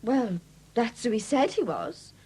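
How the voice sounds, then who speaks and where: A woman's voice: fairly high pitch, big pitch swings, unhurried, mellow, breathy edge, full character voice. An actress playing a flighty, defensive young woman in a 1970s radio drama, breezy and evasive under questioning. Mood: reluctant, chatty. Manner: breezy, evasive, indignant when challenged. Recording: hissy old 1970s radio broadcast recording.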